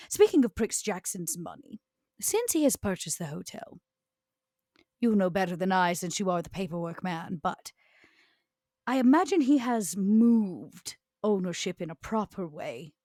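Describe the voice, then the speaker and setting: A woman playing an elegant, husky, scheming ancient vampiress. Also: medium pitch, a little mellow, dry recording, clean studio sound, natural voice. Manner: measured, formal, deliberate phrasing. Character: elegant, scheming century-old female vampire aristocrat. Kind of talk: in-character tabletop roleplay.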